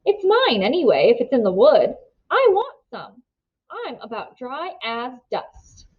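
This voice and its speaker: Woman voicing a prim, stubborn ten-year-old girl, swooping pitch. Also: huge pitch swings, measured pace, lo-fi sound, exaggerated voice. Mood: stubborn, plaintive. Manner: insistent, questioning.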